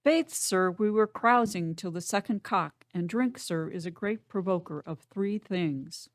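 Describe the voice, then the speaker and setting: Woman acting a sardonic male porter, rambling, hungover, lowish voice, dry close recording, Shakespearean stage comedy. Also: unhurried, no echo, natural voice. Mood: bawdy, jesting. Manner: theatrical, muttering comic monologue. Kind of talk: dramatic reading of Shakespeare.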